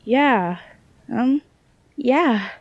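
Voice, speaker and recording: A woman playing a hesitant, self-doubting young psychic in a naturalistic audio drama, speaking in halting phrases. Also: on the high side, animated, a little mellow, muffled recording, full character voice. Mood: unsure, explaining.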